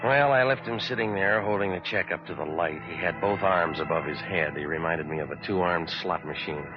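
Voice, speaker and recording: A very low-pitched, hard-boiled American private eye acted out by a man, narrating in terse, deadpan wisecracks. It is a 1940s radio detective play on a dull vintage recording, with music behind. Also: normal speed, close to an everyday voice. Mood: dry, wry.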